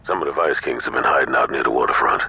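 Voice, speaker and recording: An actor playing a gruff male gang lieutenant handing out orders in a video game, clipped and rough. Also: extremely low pitch, fast, heavy background noise. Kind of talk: video game mission call.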